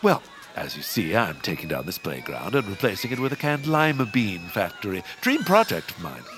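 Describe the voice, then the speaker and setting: Pompous, wildly swooping villainous baron. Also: male voice, fairly low pitch, sing-song, over music. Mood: smug, menacing. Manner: pompous, theatrical, drawn-out delivery. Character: pompous, scheming, greedy male land baron villain. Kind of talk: comic audio drama acting.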